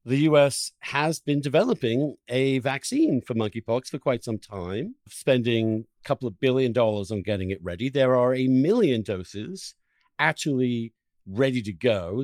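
A man's voice: medium pitch, a little mellow, dry recording, studio quality. A man hosting an interview in an impassioned conversational style, stressing his points emphatically with repeated words and piled-up phrases. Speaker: podcast host interviewing a guest.

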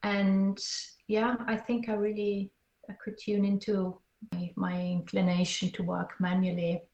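A woman's voice: mid-range voice, completely flat delivery, unhurried, darkish tone, lo-fi sound. Thoughtful Swiss woman in an echoey room. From Switzerland. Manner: thoughtful, hesitant, with frequent restarts. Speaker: podcast guest. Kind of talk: interview conversation.

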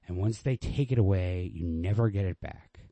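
A man voicing a weary, resigned young woman with quiet bitterness and a subdued, clipped manner in a story reading. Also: low voice, moderate pace, no room sound.

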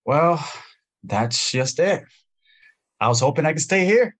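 A man's voice: fairly high pitch, expressive, a little slow. An actor playing a young male Broadway chorus dancer who is stranded, earnest and pleading. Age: young adult. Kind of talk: audio play acting.

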